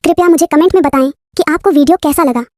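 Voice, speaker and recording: A woman with an extremely high voice reads a scripted outro. The sound is dry, with no room around it. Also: quick pace, darkish tone, no echo.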